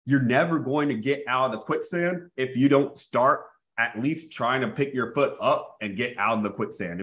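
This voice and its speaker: A man in his thirties giving a live real estate coaching webinar over a video call, talking energetically and often calling out to his audience. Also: mid-range voice. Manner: energetic, repeats "guys", restarts phrases. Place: small room indoors. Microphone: earphone mic on video call.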